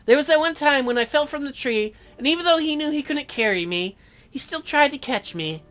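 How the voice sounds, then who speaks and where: A man's voice: extremely high pitch, animated, fairly quick, a bit bright, pitched above the performer's own voice. A man voicing a sweet, apologetic teenage girl, reading visual novel dialogue aloud. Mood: wistful.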